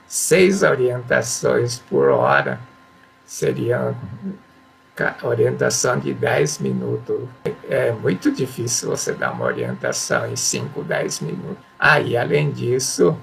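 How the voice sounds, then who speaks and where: A man's voice: medium pitch, gravelly, fairly bright tone, a little hiss or hum, low-quality recording. A man giving a religious teaching talk, reading passages aloud, explaining them and repeating affirmations with emphasis. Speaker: spiritual teacher.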